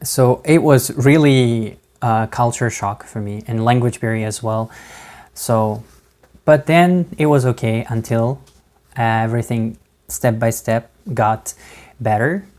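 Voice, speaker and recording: Slow halting man, non-native English accent. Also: medium pitch, a little mellow. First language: not English. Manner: halting, many fillers and restarts, laughs between words. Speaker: vlogger. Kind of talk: casual talk to camera.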